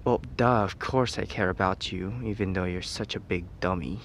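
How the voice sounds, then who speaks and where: A man voicing a caring but teasing teenage boy best friend in an ASMR roleplay, hesitant and soft-hearted while feigning reluctance. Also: low-pitched, normal speed, a little hiss or hum. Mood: gently concerned.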